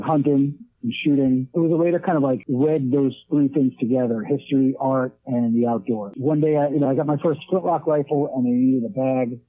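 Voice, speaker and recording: A man's voice: medium pitch, no echo. A man in his late thirties talking casually in an interview, rambling with many restarts and fillers. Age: 30s. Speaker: leatherwork artist guest. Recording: tinny phone line.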